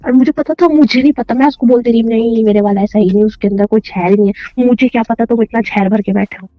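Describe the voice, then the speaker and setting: A woman's voice: a little high, fast talker, no echo. A young woman arguing emotionally on a casual phone call, accusatory, insistent and repeating herself. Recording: dull lo-fi phone call recording.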